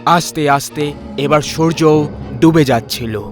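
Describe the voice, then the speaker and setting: A man's voice: a little high, measured pace, soft background music. A man narrating a scripted horror story as its storyteller.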